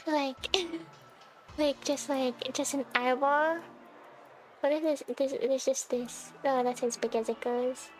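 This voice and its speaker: A woman's voice: high-pitched, steady tone, slow, a little mellow. A woman live streamer chatting casually with her viewers as she plays a game, in a playful, giggly, teasing way.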